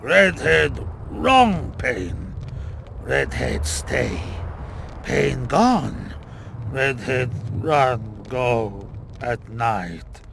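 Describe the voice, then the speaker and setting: A big, childlike, henpecked troll from a fantasy game. He drags out broken phrases very slowly in a heavily gravelled growl, swinging up and down in pitch. Faint noise sits behind him. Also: animated, a bit bright, exaggerated voice. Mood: wistful, simple. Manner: broken caveman grammar, halting short phrases. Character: big dim-witted male troll, childlike, lovelorn, henpecked. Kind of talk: video game character acting.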